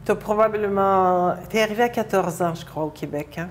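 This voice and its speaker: A woman's voice: medium pitch, normal speed, slight background noise. A woman hosting a conversational television interview. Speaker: interviewer, TV host.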